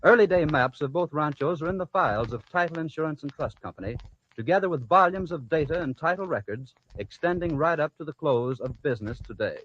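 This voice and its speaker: A man's voice: on the high side, animated, brisk, fairly bright tone. A man reading a formal script as an old-time radio announcer. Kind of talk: formal scripted radio narration and sponsor message. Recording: dull, noisy old radio recording.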